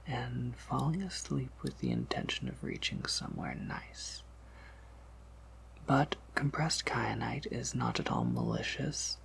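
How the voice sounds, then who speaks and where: Male performer as courteous vampire apothecary keeper, ASMR roleplay: unhurried, heavily creaky whisper, gentle, formal, quietly amused. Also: mid-range voice, exaggerated voice. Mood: gentle, reassuring. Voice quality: breathy whisper with gravelly creak.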